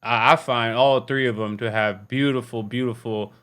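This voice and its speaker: A man's voice: medium pitch, steady tone, moderate pace, fairly bright tone, clear, resonant tone. A man hosting a reaction video, talking casually and candidly in a rambling, tired way. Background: music.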